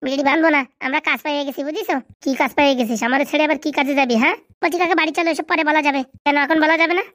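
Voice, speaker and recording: A man voicing an excitable, cheeky young male cartoon buddy, with slangy bantering delivery and a pitched-up effect. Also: very fast, no room sound, studio quality. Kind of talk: comedy cartoon dubbing in colloquial rural dialect.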